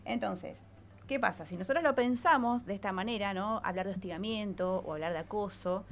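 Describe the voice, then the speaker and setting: A woman's voice: medium pitch, a little fast, a little hiss or hum. A woman with an Argentine accent, a psychologist columnist explaining things on radio and often checking in with short tag questions.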